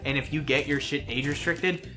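A man's voice: fairly high pitch, fairly even intonation, normal speed, pushed and bright. A young man co-hosting a let's play, chatting casually as he follows the game. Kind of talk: casual gaming chat. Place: indoors, voice-over on game footage. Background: faint game music.

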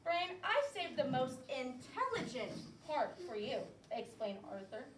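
A woman teaching a class of young children, lively and coaxing, with call-and-response cues. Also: high voice, a little slow. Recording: echoey classroom from far off, light noise.

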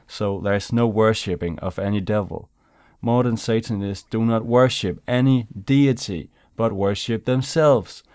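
Young man, Danish first language, low and smooth, dry close mic; laid-back casual commentary. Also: darkish tone, no echo. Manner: laid-back, chatty, says "man" and "you know". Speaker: YouTuber. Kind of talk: casual commentary over gameplay.

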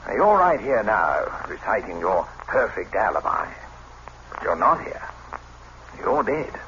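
An actor playing a confident, needling public prosecutor interrogating a suspect in a radio play. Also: male voice, on the low side, big pitch swings, a little slow, sharp tone, heavily acted. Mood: pointed, deliberate. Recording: noisy, dull old radio recording.

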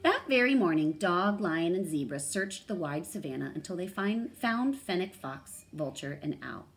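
A woman's voice: lowish voice, lively intonation, normal speed. A woman in her thirties or forties reading a storybook aloud and explaining words. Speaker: read-aloud host. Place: small room indoors.

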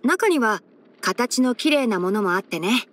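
Game role: clever, gentle young male fox-eared ranger, high boyish voice, measured and softly wry. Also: animated. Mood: reflective, gentle. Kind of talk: Japanese game character voice-over.

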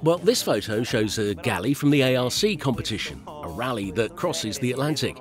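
A man reads an English voice-over for an interview host, his pitch rising and falling freely in a middle register. He asks questions in an animated, inquiring way, with faint music playing underneath. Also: moderate pace.

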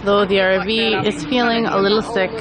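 A woman's voice: mid-range voice, fairly even intonation, normal speed, gravelly, over a noisy crowd. A woman hosting a podcast vlog, narrating with jokes and swearing at an outdoor festival. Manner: jokey, swears freely, tour-guide patter. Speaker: podcast host. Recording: handheld camera at a loud outdoor festival with music.

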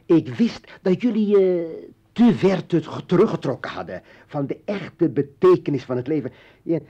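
An actor playing a bitter, militant revolutionary and former journalist in a radio play, ranting and taunting in halting bursts. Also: male voice, a little high, big pitch swings, mellow, husky. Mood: bitter, insistent. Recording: dull vintage radio drama recording.

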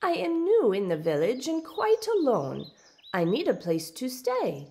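Woman voicing a slow, tender old forest witch. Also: high-pitched, wildly swooping pitch, gravelly, darkish tone, pitched above the performer's own voice, exaggerated voice. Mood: gentle, pleading. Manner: sighing, gleeful, tender. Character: kindly old female forest witch posing as babushka, wistful. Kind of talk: storybook read-aloud.

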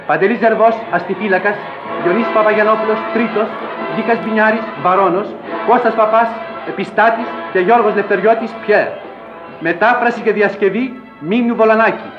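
A man reads formally as a radio announcer. His voice is high for a man. The vintage recording is dull and echoey, with music clearly behind him. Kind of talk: formal scripted cast credits.

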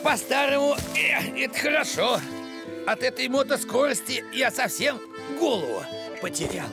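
A man's voice: extremely high pitch, expressive, moderate pace, vocal fry, heavily acted. A man playing a pedantic, flustered older village postman in a cartoon, officious and hesitant. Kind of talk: animated cartoon acting. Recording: music behind the voice.

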